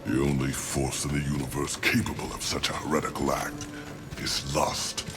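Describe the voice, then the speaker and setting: A man's voice: extremely low pitch, level tone, moderate pace. A man voicing a weary, grandiose angel commander in a video game, speaking in a solemn, formal, theatrical way. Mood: grave. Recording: music behind.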